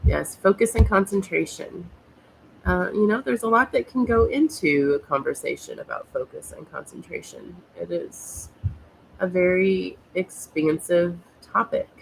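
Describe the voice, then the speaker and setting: A woman host with a very low, gravelly voice, stuffed up from a cold. She talks haltingly, leaning on fillers, over a faint background hiss. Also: poor audio. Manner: halting, lots of fillers and pauses. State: has a cold, snuffly. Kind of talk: casual chat.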